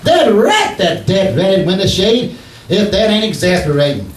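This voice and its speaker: A man's voice: high voice, sing-song, a little slow. A man playing a bumbling middle-aged husband and handyman in a 1940s-style radio comedy, folksy, ungrammatical and blustering. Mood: exasperated. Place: arts center stage, live performance. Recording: roomy stage recording, distant mic, hiss.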